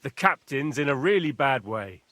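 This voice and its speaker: A gruff castle guard. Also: male voice, mid-range voice, sing-song, moderate pace, sharp tone, no echo. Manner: gruff, curt. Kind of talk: video game voice acting.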